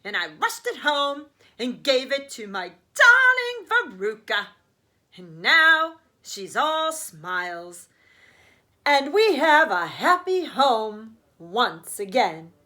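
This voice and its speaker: A woman voicing a boastful, indulgent rich father in a children's storybook, talking in loud, emphatic, folksy brags. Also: high voice, sing-song, slow, exaggerated voice. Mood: proud.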